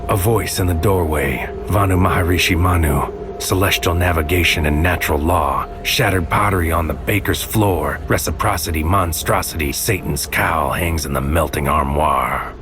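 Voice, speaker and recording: An actor playing a troubled, haunted agent in a surreal audio drama, speaking in a brooding, deliberate, poetic way. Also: male voice, sits low, lightly acted. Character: troubled, haunted male agent narrating his own surreal missions. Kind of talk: noir-like poetic audio drama narration and dialogue. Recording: music bed under the voice.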